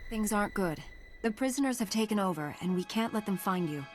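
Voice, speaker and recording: An actress playing a calm, resolute heroine knight in a video game, composed and measured. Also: female voice, medium pitch, soft background music, lightly acted. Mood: calm, reassuring. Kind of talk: video game character acting.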